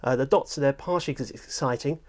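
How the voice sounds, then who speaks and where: A man's voice: medium pitch, quick pace. A middle-aged English man presenting a casual, jokey interview in a conversational way. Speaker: presenter, audiobook producer and director. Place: small furnished office room, indoors.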